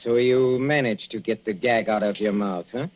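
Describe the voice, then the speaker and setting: An actor playing a gloating, murderous older professor in 1940s radio suspense style, mock-courteous and savoring every hesitation. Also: male voice, lowish voice, normal speed, natural voice. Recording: muffled, dull old radio recording.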